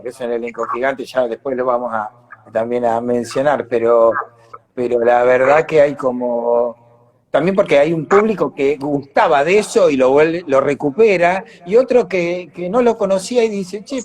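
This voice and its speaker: A man with an Argentine Rioplatense accent, speaking conversationally as an actor being interviewed, animated in his telling. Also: medium pitch.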